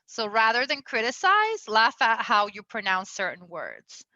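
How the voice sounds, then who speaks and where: Bright chatty woman coaching on relationships. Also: on the high side, big pitch swings, measured pace, no room sound, lo-fi sound. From Venezuela. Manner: chatty, lots of fillers, laughs often. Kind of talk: casual advice talk.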